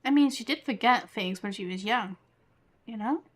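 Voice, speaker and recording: Chatty high-voiced woman game streamer. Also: normal speed, noisy background. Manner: chatty, informal, reacting to the game. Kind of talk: casual livestream commentary. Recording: faint game music behind.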